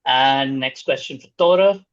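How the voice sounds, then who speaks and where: A man's voice: mid-range voice, unhurried, no echo. A man acting as quiz master, reading out questions and scoring answers over a dull-sounding online call.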